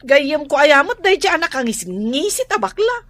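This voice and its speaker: A brash, gossipy Ilocano housewife in a radio drama. She speaks in a high voice with a careless, cheeky manner, recorded very dry. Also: big pitch swings, moderate pace, fairly bright tone, no echo. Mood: mocking, gossipy.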